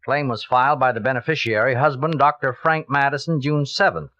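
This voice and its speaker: A man playing an amiable insurance executive in a 1950s radio drama, brisk and familiar with slight hesitations. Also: medium pitch, fairly even intonation, bright, forceful tone, lightly acted. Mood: matter-of-fact. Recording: dull vintage radio recording.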